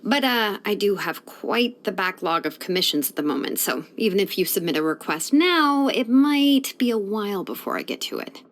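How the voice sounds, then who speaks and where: An actress playing a sleepy, laid-back young woman blacksmith in a fantasy video game, speaking in a casual, unhurried way. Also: mid-range voice, expressive, lightly acted. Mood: relaxed, casual. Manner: unhurried, casual, hesitant drawl with sighs. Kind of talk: English video game voice acting.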